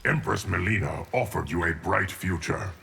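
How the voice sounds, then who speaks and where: An actor playing a grave, steadfast guardian of time in a fighting game, speaking in a measured, formal way. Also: male voice, deep voice, expressive, creaky, pushed and bright, a little hiss or hum, exaggerated voice. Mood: solemn, assured. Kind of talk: scripted fighting game dialogue.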